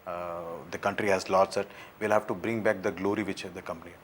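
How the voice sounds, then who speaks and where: A low-voiced man talks fast and earnestly on a live televised panel. He hesitates often with fillers as he makes his case. Faint background noise runs underneath. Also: little pitch movement. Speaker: party spokesman.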